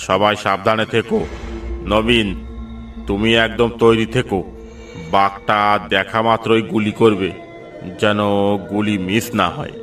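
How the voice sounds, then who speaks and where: Guy doing a bossy village cop for a cartoon, lowish and monotone, giving orders. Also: measured pace, pauses often, far from the mic, faint music behind. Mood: watchful, commanding. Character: commanding village police inspector leading an animal hunt. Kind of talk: cartoon voice acting.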